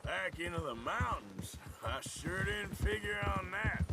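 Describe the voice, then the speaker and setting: An actor playing a gruff, laconic American outlaw cowboy with a Western drawl in a video game. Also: male voice, high voice, very animated, a little slow, loud music behind the voice, full character voice. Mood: dry, easygoing. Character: gruff, grudge-holding male outlaw cowboy. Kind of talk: cinematic western game acting.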